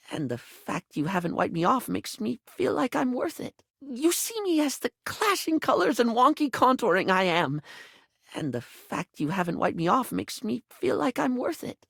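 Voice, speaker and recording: A man's voice: high-pitched, a bit bright, no echo, studio quality, exaggerated voice. A man voicing a flamboyant, chatty living makeup kit in a video game, gushing and rambling, camp, insecure and eager to please. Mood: touched, affectionate. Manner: effusive, camp, gushing, rambling, calls people darling. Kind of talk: video game character voice acting.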